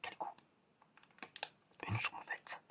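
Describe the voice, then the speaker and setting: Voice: very slow, bright, forceful tone, a little hiss or hum. An ASMR performer whispering a roleplay exam in a hesitant murmur, often repeating short phrases. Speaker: ASMR performer playing an examiner.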